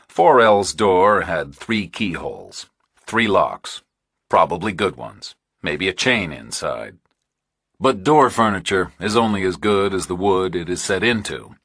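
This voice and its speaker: Male audiobook narrator for thriller fiction: bass register, bright forceful edge, deliberate and exact. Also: breathy edge, no echo, clean studio sound.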